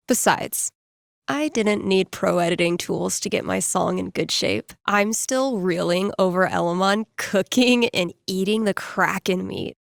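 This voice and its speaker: A woman's voice: medium pitch, gravelly, no room sound, clean studio sound, natural voice. An actress playing a wry, slangy young woman who DJs and runs tabletop games, in a video game. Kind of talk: video game character inner monologue.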